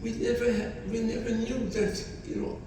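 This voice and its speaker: A man's voice: very high-pitched, flat delivery, mellow, recorded from a distance, slight background noise. Elderly man speaking in an echoey hall. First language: not English. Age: 90s. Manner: halting, repeats phrases, speaks with feeling. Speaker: Holocaust survivor speaker. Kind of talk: testimony to students. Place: college hall.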